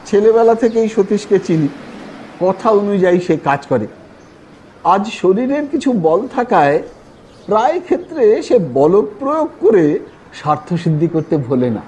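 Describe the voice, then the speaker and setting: Guy reading an audio story, mellow but really expressive, high voice, some bg noise. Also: measured pace. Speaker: story narrator. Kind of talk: dramatic audio-story narration of classic fiction.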